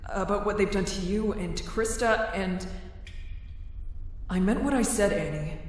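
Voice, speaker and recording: A woman's voice: mid-range voice, a little slow, natural voice. A woman playing an earnest, pleading young woman in an anime fan audio drama. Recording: heavy echo, long reverb.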